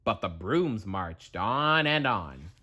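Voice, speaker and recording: A man narrating a children's storybook aloud in a dramatic, deliberate storytelling manner. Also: lowish voice, sing-song, bright, forceful tone.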